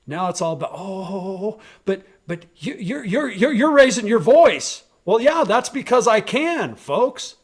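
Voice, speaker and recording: A man with a fairly high voice rants on a local podcast. He is blunt and commanding, swears freely, and gets loud when a point matters to him. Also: big pitch swings, a little slow, few pauses, breathy edge. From rural western United States, raised on a ranch. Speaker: podcast host. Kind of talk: opinionated political talk.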